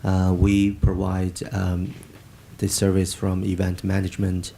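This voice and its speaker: A man panelist introducing his company slowly and hesitantly, stumbling over words. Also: sits low, completely flat delivery, gravelly, dark tone, a little hiss or hum. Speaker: panelist, sports company representative.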